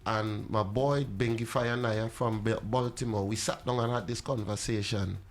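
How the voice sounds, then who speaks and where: A man from Trinidad with a Trinidadian accent, a musician guest in casual interview chat, telling animated stories with Caribbean slang and easy laughs. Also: lowish voice, moderate pace.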